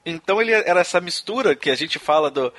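A man's voice: fairly high pitch, animated, quick pace, a bit bright. A Brazilian man hosting a podcast, chatting casually about history with frequent restarts and tag questions. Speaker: podcast host. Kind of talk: casual conversational chat on music history.

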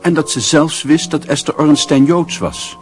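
An actor narrating a radio play as a measured, literary storyteller. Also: male voice, fairly high pitch, soft background music, lightly acted.

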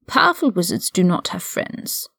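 A woman's voice: on the low side, very animated, gravelly, fairly bright tone, husky, no room sound, full character voice. Woman voicing a haughty, cold older aristocratic wizard. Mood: quietly menacing. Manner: condescending, measured, drawling formal speech. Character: older aristocratic male wizard prisoner, haughty and coldly mocking.

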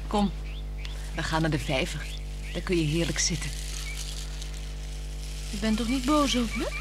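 An actress playing a playful, flirtatious married woman in a radio play, teasing and coaxing, moving between hushed words and exclamations. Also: mid-range voice, sing-song, unhurried. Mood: concerned, coaxing. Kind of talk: 1960s Dutch radio play acting. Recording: 1960s radio drama with music.

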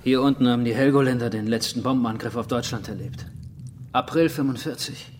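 An actor playing a practical, matter-of-fact islander who guides survivors in a German radio horror drama. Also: male voice, mid-range voice, fairly quick, darkish tone, a little hiss or hum, lightly acted. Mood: explaining, sober. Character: practical male islander guiding survivors through dark tunnels.

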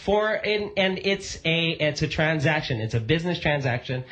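A man's voice: a little high, lively intonation, moderate pace, fairly bright tone, soft background music. A man co-hosting a casual radio chat show who rambles and asks listeners questions.

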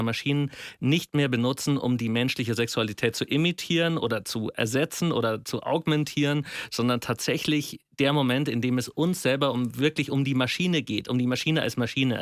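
A man's voice: medium pitch, fairly quick, no room sound, studio quality. A man in a conversational discussion, explaining and rephrasing points as they form.

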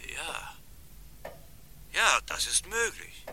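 An actor playing a grumpy doctor friend rudely woken in the early morning, in a 1950s radio drama, sounding irritated and skeptical. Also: male voice, on the high side, wildly swooping pitch, extremely slow, pushed and bright, full character voice.

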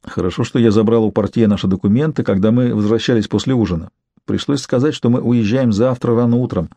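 A man's voice: medium pitch, fast, warm tone, natural voice. A man reading the part of a reluctant, wary businessman in an audiobook novel, guarded and stalling.

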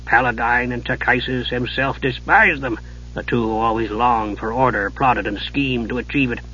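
A man voicing a gruff, weary old male dwarf, speaking low and hushed, in a dull lo-fi recording. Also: steady tone, normal speed, a little hiss or hum, close to an everyday voice. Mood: weary, brooding.

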